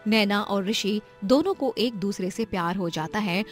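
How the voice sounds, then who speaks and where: A woman's voice: medium pitch, moderate pace, faint music behind. A woman narrating a scripted moral story as a storyteller.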